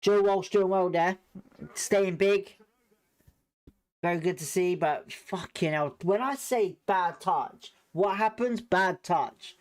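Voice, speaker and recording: A man's voice: high voice, slow pace. Sweary British male football watchalong host. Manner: sweary, blunt, exasperated slangy delivery. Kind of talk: live match commentary, informal fan reactions.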